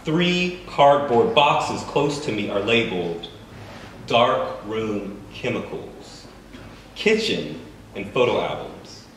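Man, literary reading, lowish voice, roomy bookstore echo, distant camera mic. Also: expressive. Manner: measured, deliberate reading with pauses. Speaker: author reading his fiction. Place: bookstore event space, indoors. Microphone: stand mic, recorded by a far camera. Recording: echoey room from far off, faint noise.

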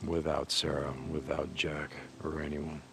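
A man's voice: very deep voice, completely flat delivery, a little slow, background noise, full character voice. An actor playing a guilt-ridden American biker drifter in his thirties, in a naturalistic video game performance. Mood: subdued, reflective. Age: 30s. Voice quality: gravelly. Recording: background music.

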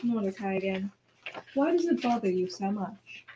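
A woman's voice: mid-range voice, animated, moderate pace, mellow, lightly acted. A woman playing a dry, wry noble wife in an amateur radio play. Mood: dryly questioning. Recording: noisy amateur recording with clicks.

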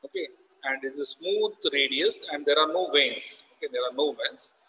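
A man's voice: fairly high pitch, animated, moderate pace, sharp tone, long reverb tail, poor audio. A man, an engineering professor explaining a technical topic over slides, repeating key terms and saying "okay" often. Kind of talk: recorded lecture over slides.

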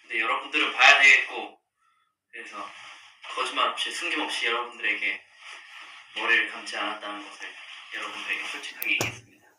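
Young male streamer, casual and soft-spoken, mid-pitched, recorded from across a table in an echoey room. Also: steady tone, quick pace, long pauses, far from the mic, slight background noise. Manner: soft-spoken, drifts into murmurs and whispers, laughs often. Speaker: livestream host. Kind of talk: casual livestream chat with fans. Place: room with dark wall at a long table, indoors. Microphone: device camera across the table. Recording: roomy, from slightly off-mic.

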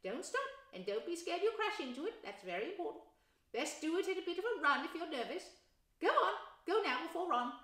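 A woman voicing a plump, kind, brisk middle-aged mother in a storybook reading, in an echoey room. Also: very high voice, sing-song, a bit bright, pitched above the performer's own voice, heavily acted. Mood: brisk motherly.

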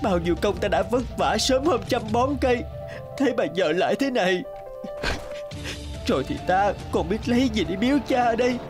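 A man playing an honest, sorrowful young peasant son in an animated folk tale, speaking plaintively and humbly. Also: high-pitched, moderate pace, music in the background. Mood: anguished.

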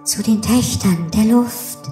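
Ethereal daughter of the air, a female actor: gentle, solemn, very mellow, ghostly echo. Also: fairly high pitch, takes it slowly. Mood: solemn, consoling. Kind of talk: fairy tale audiobook dialogue. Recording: echoing reverb, soft music behind.

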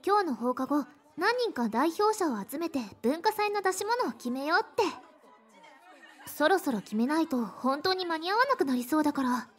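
A bright, encouraging schoolgirl from an anime game. She speaks in a very high, sweet voice with lively swings of pitch. Her manner is friendly and ladylike. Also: normal speed. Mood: friendly, earnest. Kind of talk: Japanese anime game acting.

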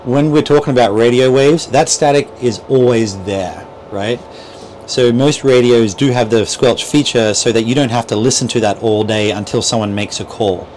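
Middle aged guy doing a casual how-to, mid pitched voice, steady background noise in a small boat cabin. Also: moderate pace. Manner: explanatory, step-by-step, checks in with "right?". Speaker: boat dealer instructor. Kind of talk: informal how-to demonstration. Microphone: clip-on lavalier mic.

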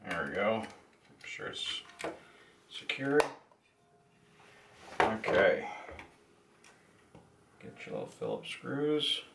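A man with a fairly low, creaky voice gives a casual step-by-step demonstration in short, halting phrases. He takes his time between words. The mic sits at a distance. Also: huge pitch swings. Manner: halting, muttered step-by-step remarks while working with hands. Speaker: hobbyist demonstrator. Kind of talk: casual hands-on tutorial. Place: indoors at a tabletop workbench. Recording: distant mic, clear noise, clicks.

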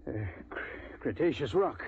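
On a narrow, faintly noisy old radio recording, an actor plays a British gentleman hunter. His pitch moves widely, and he has the understated wit of a loyal partner in a fifties adventure play. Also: animated, normal speed, close to an everyday voice. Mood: dry, wry. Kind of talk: 1950s radio drama acting.

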